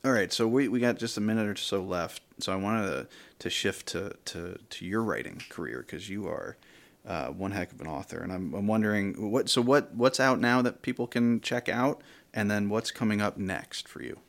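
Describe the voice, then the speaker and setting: A man's voice: on the low side, normal speed, vocal fry. A man hosting a radio interview, chatting informally and bantering with his guest. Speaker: radio show host, interviewer. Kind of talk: casual radio interview chat.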